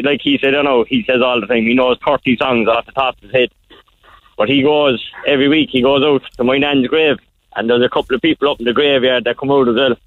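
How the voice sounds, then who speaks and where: A young man chatting casually as a caller over the telephone. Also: mid-range voice. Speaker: phone-in caller. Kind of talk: casual phone chat. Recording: dull, band-limited phone line.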